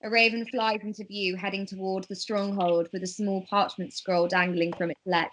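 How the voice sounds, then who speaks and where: A woman reads stage directions aloud as narrator of a script table read. Her pitch is average, and her reading is a little halting, with stumbles over words. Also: flat delivery, fairly bright tone, slight background noise, poor audio.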